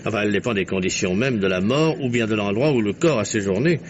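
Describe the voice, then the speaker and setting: An actor playing a keen, talkative journalist turned amateur detective in an old French radio mystery, theatrical and reasoning step by step. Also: male voice, on the low side, quick pace, close to an everyday voice. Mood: musing, wry. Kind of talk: mid-century French radio mystery acting. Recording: noisy, muffled old radio drama recording.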